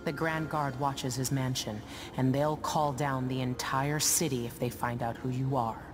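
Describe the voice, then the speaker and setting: A woman playing a gruff, wary middle-aged ship captain in a video game, with terse, guarded lines. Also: very deep voice, steady tone, unhurried, music in the background. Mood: businesslike. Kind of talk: video game cutscene acting.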